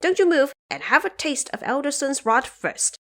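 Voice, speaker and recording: A woman's voice: high voice, sing-song, normal speed, pitched above the performer's own voice. A woman voicing a brash, boastful monkey warrior with a cocky, challenging manner in a fantasy audio drama. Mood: defiant. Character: brash, boastful monkey warrior calling himself great sage.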